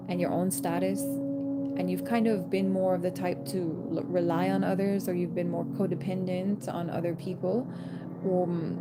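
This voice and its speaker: A woman giving a chatty intuitive reading, breaking into laughing asides and stopping herself mid-thought. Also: lowish voice. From American. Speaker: tarot reader. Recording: loud background music.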